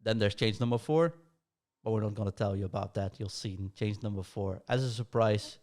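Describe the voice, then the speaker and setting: A man's voice: on the low side, brisk, noisy background. A man with a Dutch accent hosting a podcast, laying out show plans in a rambling, casual way with many fillers. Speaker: podcast host.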